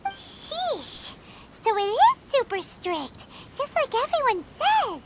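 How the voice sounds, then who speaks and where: Squeaky, childlike little fairy companion. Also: female voice, huge pitch swings, slow pace, heavy background noise, exaggerated voice. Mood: exasperated, curious. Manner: childlike, refers to self in third person. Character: tiny floating fairy companion, childlike, chirpy, cheeky, excitable. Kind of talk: video game voice acting.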